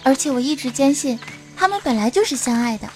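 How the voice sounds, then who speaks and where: A young female adventurer in an audio drama. She has a high, girlish pitch and an earnest, bossy way with her companion. Faint music plays behind her. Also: animated, fast talker. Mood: wistful. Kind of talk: Chinese audio drama acting.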